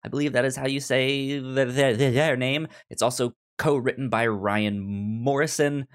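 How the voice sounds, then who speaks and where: A man co-hosting a casual film podcast, speaking haltingly and often stuttering over repeated words. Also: mid-range voice, fairly even intonation, clean tone. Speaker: film review podcast co-host. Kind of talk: casual chat.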